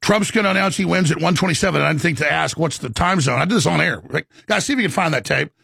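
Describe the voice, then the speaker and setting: A man hosting a talk show, telling stories in an urgent, animated conversational way with a gravelly, raspy voice, often acting out dialogue. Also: a little high, quick pace, no echo, studio quality.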